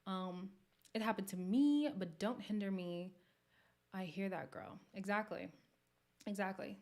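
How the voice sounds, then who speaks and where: A woman's voice: mid-range voice, measured pace, darkish tone. A woman from Montreal hosting a casual livestream, talking candidly and laughing often as she answers her viewers' comments. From Montreal, Canada. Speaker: livestream host.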